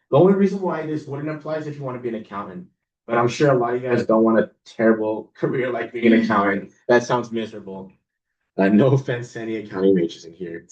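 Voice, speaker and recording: A young man giving a casual but confident talk on public speaking to a student club, using a lowered, authoritative tone. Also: darkish tone. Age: young adult. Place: classroom or meeting room. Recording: roomy, distant mic, dull sound.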